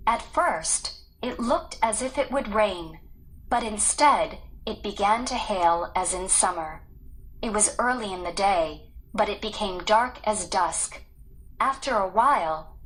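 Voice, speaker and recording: A woman reading a children's story aloud in an even, careful storytelling manner. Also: mid-range voice, rough recording. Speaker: story reader. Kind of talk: read-aloud storybook narration.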